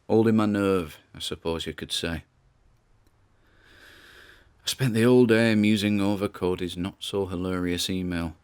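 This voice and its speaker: A man's voice: low voice, smooth, natural voice. A man playing a nervous, wry grandson who records audio logs, in a conversational, musing audio drama monologue.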